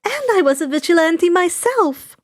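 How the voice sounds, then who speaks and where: Woman acting motherly middle-aged woman, high-pitched, earnest, fussy, easily flustered, story character. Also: big pitch swings, normal speed. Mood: matter-of-fact.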